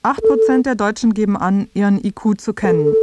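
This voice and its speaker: A woman's voice: mid-range voice, animated, fairly quick, very noisy. A woman narrating a scripted radio feature, addressing the listener directly in a wry way.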